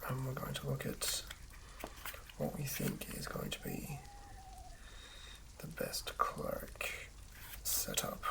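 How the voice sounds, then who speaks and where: A host whispering in a breathy, hushed ASMR style, reading aloud and commenting casually in an unhurried way. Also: mid-range voice, wildly swooping pitch, rough recording. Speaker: host reading and building a tabletop game character.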